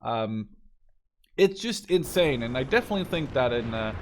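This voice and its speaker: A man's voice: mid-range voice, moderate pace. Young man commentating over battle noise. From Netherlands. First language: Dutch. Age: 20s. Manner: rambling, informal, expressive. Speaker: gaming video host. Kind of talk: casual gameplay commentary. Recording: game gunfire and artillery behind. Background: video game battle sounds.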